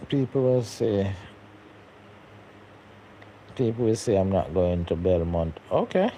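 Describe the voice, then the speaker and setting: A young man chats loosely on his live stream, looping back over his words as he talks. His voice is mellow and dark, with a faint hum of background noise. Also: deep voice, animated, slow, low-quality recording. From Jamaica. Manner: rambling, repeats words, laughs often. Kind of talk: casual live-stream chat reading comments.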